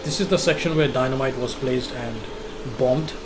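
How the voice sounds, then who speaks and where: A man narrating a game walkthrough in casual commentary, explaining step by step with halting restarts and fillers. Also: mid-range voice, background noise.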